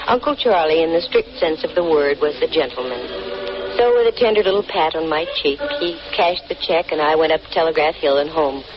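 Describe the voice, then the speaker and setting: A woman's voice: deep voice, brisk, music in the background, lightly acted. An actress playing a tough, wisecracking woman private detective in a 1940s radio drama, with a wry, slangy delivery. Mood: wry narration. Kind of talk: 1940s radio detective drama acting, hard-boiled narration. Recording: muffled, noisy old radio broadcast recording.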